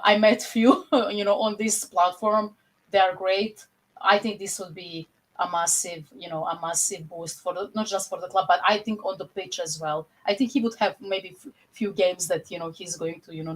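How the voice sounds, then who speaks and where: A woman's voice: fairly low pitch, slightly rough, poor audio. Chatty Croatian woman, filler-heavy. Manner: animated, many fillers like "you know". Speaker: podcast co-host. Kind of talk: casual football chat on a video call.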